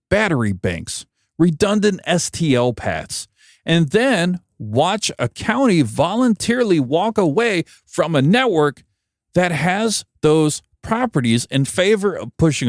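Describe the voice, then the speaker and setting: American man, animated wry podcast monologue. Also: fairly high pitch, dry recording, clean studio sound. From United States. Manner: wry, emphatic, opinionated. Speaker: podcast host, broadcast engineer. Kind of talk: opinion podcast monologue, conversational.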